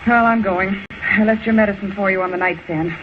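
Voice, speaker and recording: A woman's voice: medium pitch, steady tone, fairly quick, close to an everyday voice. A woman playing a cold, scornful character in an old radio melodrama, with a coolly dismissive delivery. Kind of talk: 1940s-50s radio melodrama acting. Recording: muffled, noisy old radio recording.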